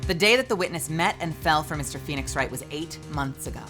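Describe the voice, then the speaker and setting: A woman reading as a composed female defense attorney, low-pitched and sharp. Her delivery is measured and cutting, with lively rises and falls as she corners a witness. Music plays steadily underneath. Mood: accusing. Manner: measured, cutting cross-examination. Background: background music.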